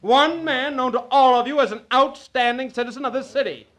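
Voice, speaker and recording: A man's voice: very high voice, fairly quick, a bit bright. A man playing a cocky, theatrical defense attorney, oratorical and grandiose in 1940s radio style. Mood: triumphant oratory.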